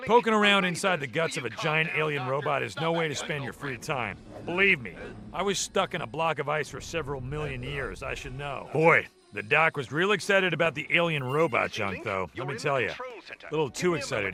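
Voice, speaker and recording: An actor playing a jaded, wisecracking ancient monster in a video game, with a sarcastic, slangy, world-weary delivery. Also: male voice, medium pitch, vocal fry. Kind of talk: animated video game voice acting.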